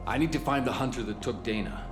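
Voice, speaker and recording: An actor voicing a grim, vengeful shape-shifting man with a gravelly voice in a video game. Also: medium pitch, animated, quick pace, lightly acted. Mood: driven, terse. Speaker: video game protagonist narrator. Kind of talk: dramatic game cutscene narration. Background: music.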